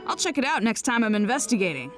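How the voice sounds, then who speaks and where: A woman's voice: fairly high pitch, very animated, fast talker, faint music behind. A woman voicing an upbeat, boyish young son in an English anime game dub. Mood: casual.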